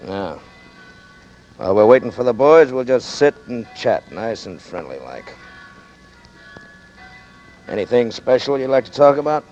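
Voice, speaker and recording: A man's voice: medium pitch, big pitch swings, a little slow, long pauses, a little mellow, faint music behind. An actor playing a cool gangster who holds a man at gunpoint, drawling mockingly friendly threats in a 1940s radio drama. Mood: menacing. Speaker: gunman. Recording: dull lo-fi old radio broadcast.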